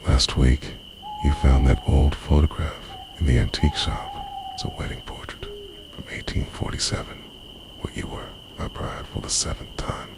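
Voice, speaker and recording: Man acting as obsessive undying lover, extremely low voice, hugely varied intonation, intimate menace, slow ASMR roleplay. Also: heavy background noise, heavily acted. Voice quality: very deep, breathy whisper. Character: obsessive immortal body-stealing lover, possessive and menacing.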